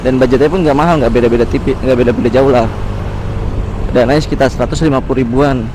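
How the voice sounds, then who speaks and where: A man's voice: mid-range voice, steady tone, quick pace. A man with a Jakarta Indonesian accent chatting casually and slangily to viewers while he rides a motorbike outdoors. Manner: informal, slangy, addressing viewers; talking while riding a motorbike. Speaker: motovlogger. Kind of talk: casual vlog chat. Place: outdoors on a motorbike on city roads. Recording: music behind, outdoor road and vehicle sounds. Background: music and vehicle noise.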